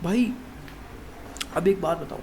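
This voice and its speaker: Gravelly young man rambling to camera. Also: high-pitched, takes it slowly, warm tone, slight background noise. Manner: rambling, addresses viewer as "bhai" constantly, many restarts. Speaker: fitness vlogger. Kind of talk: casual to-camera monologue.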